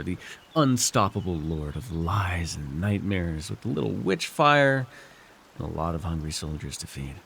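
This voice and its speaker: Man playing a low-voiced, wry fantasy villain lord. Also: gravelly, a little hiss or hum, exaggerated voice. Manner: theatrical, halting, sighing and musing. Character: scheming young villain lord, weary, wry, persuasive. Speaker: voice actor. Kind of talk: immersive fantasy roleplay monologue, ASMR.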